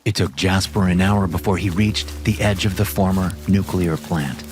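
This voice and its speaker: A man narrating a scripted horror story in a measured, even way. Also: low-pitched. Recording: music bed behind voice.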